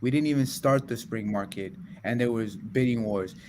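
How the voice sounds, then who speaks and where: A man's voice: mid-range voice, normal speed, darkish tone, slight background noise. A man co-hosting a real estate podcast, talking in a blunt, streetwise, casual way. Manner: streetwise, blunt, says "yo" and "folks".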